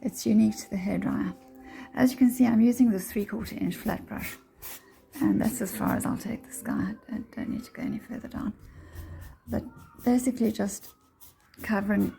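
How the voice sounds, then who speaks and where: Mellow, creaky-voiced woman painting tutor. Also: medium pitch, steady tone, normal speed, faint music behind. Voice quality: slightly croaky. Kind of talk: casual step-by-step painting commentary. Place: indoors, home painting room.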